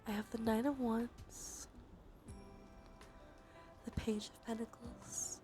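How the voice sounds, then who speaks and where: A woman livestream host speaking in a soft, breathy, often whispered voice, murmuring casually and unhurriedly to viewers in an ASMR tarot style. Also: on the high side, flat delivery, slow pace, soft background music. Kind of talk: ASMR tarot reading stream.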